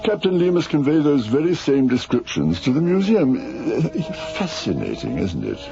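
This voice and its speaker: Casting: hesitant, excitable professor, extremely animated pitch, radio drama with music underneath. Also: male voice, normal speed, vocal fry. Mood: fascinated. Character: fussy, hesitant academic doctor, eager and scholarly. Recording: muffled radio recording, music behind.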